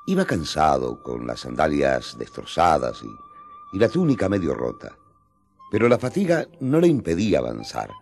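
A man's voice: a bass voice, wildly swooping pitch, normal speed, creaky, a little mellow. A man narrating a radio drama in a solemn, theatrical storytelling manner. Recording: music under the narration.

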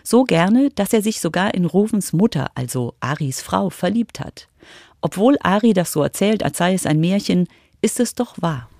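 A woman's voice: on the low side, lively intonation, normal speed, warm tone. A woman reporter reading scripted narration for a children's radio feature.

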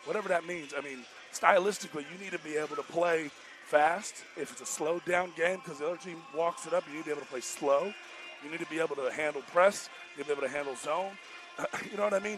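A man's voice: on the high side, fast talker, music in the background. A man with an American accent, a coach talking casually and emphatically in a post-game interview, repeating his points in colloquial speech. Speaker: basketball coach. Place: indoor basketball arena, courtside. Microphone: headset.

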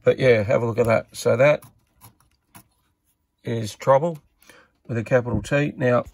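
Australian male hobbyist presenter, mid-pitched and gravelly, casual and off the cuff, thinking aloud. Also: unhurried, a little mellow, dry recording. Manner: hesitant, thinking aloud as he works. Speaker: radio repair hobbyist presenter. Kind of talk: casual unscripted repair walkthrough.

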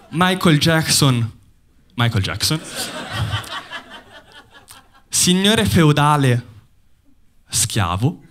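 Young man doing deadpan stand-up. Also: on the high side, slight background noise. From Bologna, Italy. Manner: deadpan, ironic delivery with pauses for laughs. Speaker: stand-up comedian. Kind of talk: stand-up comedy set. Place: theater stage before a live audience. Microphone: handheld mic.

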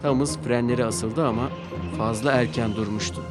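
A man narrating a children's cartoon storybook-style, with clear and expressive delivery. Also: mid-range voice, normal speed. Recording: music playing behind.

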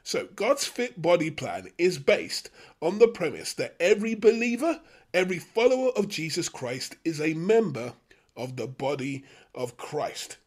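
A man hosting a Bible study, teaching from a script in measured, emphatic phrases. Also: a little high, very animated. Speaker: host of a Bible study show.

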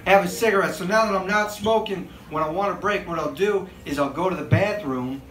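A young man performing a stand-up set on a handheld mic in a small club, animated and acting out excited bystanders. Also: high-pitched, fairly quick. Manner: animated, acts out bystanders with big excited outbursts. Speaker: stand-up comedian. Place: small comedy club stage, indoors. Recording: echoey club room with crowd noise.

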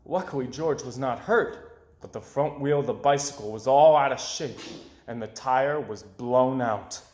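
A young man reading a children's story aloud in an expressive way, with dramatic pauses. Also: mid-range voice. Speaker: storybook reader. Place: small indoor room with bookshelves. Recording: roomy, echoey indoor sound.

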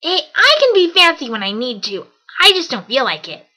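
Bright, brash tomboy pegasus played by a woman. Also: high-pitched, big pitch swings, normal speed, full character voice. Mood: casual, cocky. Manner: casual, bold. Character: brash, cocky, loyal tomboy female pegasus pony. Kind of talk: amateur audio drama acting.

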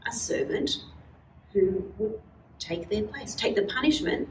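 A middle-aged woman leading a children's church lesson, explaining with animated gestures in a casual teaching chat. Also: mid-range voice, a little slow, a little hiss or hum. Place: small indoor room, standing at a wall. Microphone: far camera. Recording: roomy sound from a distant camera.

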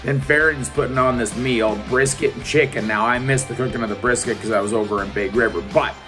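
A man's voice: mid-range voice, fairly even intonation, fairly quick, music in the background. A Canadian man hosting a casual vlog in a playful, folksy way. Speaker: vlog host, comedian.

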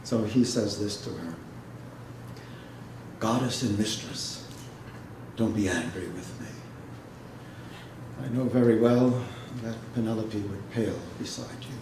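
A man giving a dramatic literary reading and talk to an audience, speaking in a measured way with pauses. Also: lowish voice. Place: indoor hall, seated before an audience. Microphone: microphone on a stand, recorded by a distant camera. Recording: echoey room, noisy background.

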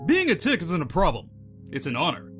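A man playing a huge, dim, self-important superhero tick in a comic-book audio drama, pompous, melodramatic and halting when cornered. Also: a little high, huge pitch swings, a bit bright. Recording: music behind the voice.

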